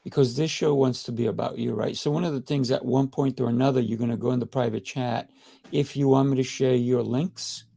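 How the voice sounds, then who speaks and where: Gravelly, mellow-voiced podcast host. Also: male voice, mid-range voice, fairly even intonation, a little fast. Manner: enthusiastic, repeats himself, frequent "right?". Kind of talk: casual interview.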